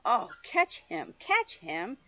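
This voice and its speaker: Woman putting on urgent, high-pitched storybook firemen. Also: huge pitch swings, takes it slowly, sharp tone, lo-fi sound, slight background noise, heavily acted. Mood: alarmed. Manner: urgent, exclaiming. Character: excited shouting firemen in a children's storybook.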